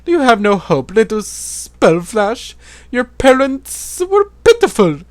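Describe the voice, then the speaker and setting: A cruel demon villain, pitched far above a normal male voice and swinging wildly up and down. The delivery is slow and condescending, every taunt stretched out with gloating relish. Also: wildly swooping pitch, no room sound, heavily acted.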